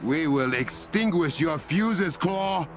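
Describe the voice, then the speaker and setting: A man's voice: on the high side, expressive, takes it slowly. An actor playing a grave, resolute young king with an African accent, speaking formally and with command in a dramatic video game. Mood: defiant. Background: cinematic game music.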